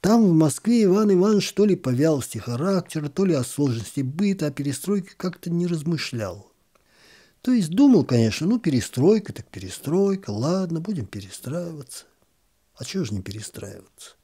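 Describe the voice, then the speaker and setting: A man reading a literary story aloud in an expressive, gently ironic storytelling manner. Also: on the high side, a little fast, breathy edge.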